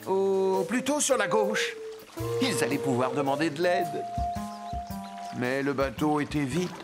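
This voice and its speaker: A man's voice: fairly high pitch, expressive, measured pace, over loud music. A man reading scripted narration for a children's cartoon.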